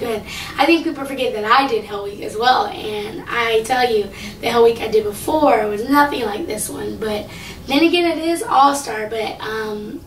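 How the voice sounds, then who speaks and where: A young woman talking casually to camera about a singing contest. She rambles with lots of fillers, and her voice is low and slightly raspy. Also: far from the mic, slight background noise. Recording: echoey room, voice from off the mic.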